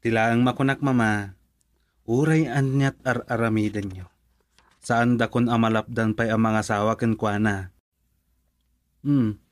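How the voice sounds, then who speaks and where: A man's voice: mid-range voice, steady tone, a little slow, pauses often, no echo, studio quality, lightly acted. A young man with an Ilocano accent acting an earnest, pleading grown son in a radio drama. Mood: insistent.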